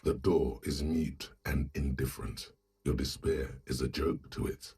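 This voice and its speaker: A man playing an ominous, wry inner voice, narrating a video game in a solemn, deliberate, literary manner. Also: a bass voice, a little mellow, husky, exaggerated voice. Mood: bleak, ominous. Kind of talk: scripted second-person game narration.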